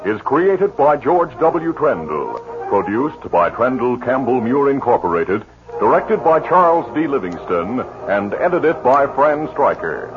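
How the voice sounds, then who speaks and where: A man announcing and narrating a 1950s radio western, booming and emphatic in scripted delivery, on a dull old broadcast recording. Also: big pitch swings, measured pace, noisy background.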